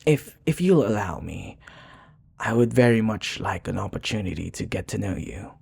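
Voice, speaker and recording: A man's voice: lowish voice, expressive, normal speed, creaky, darkish tone, slightly rough, full character voice. A man playing a charming, sincere vampire bartender who flirts tenderly and hesitantly in an intimate ASMR roleplay. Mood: shy, smitten.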